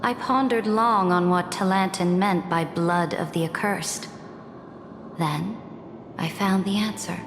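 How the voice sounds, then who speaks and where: An actress playing a cold, commanding, ruthless empress in a video game, with an imperious, measured and solemn delivery. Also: female voice, fairly low pitch, background noise. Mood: solemn, resolute. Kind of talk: video game drama dialogue. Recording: echoey reverberant hall, music behind.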